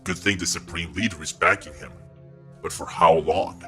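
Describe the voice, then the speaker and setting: A man voices a brooding knight in a comic dub drama. The voice is deep and hard-edged, cynical and menacing, that of a loyal but skeptical subordinate. Faint music runs underneath. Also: fairly even intonation, moderate pace, pushed and bright.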